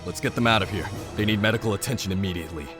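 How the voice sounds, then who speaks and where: A man's voice: fairly low pitch, racing, over music, close to an everyday voice. A man acting a tough, commanding, cocky fighter in an amateur action audio drama. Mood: urgent.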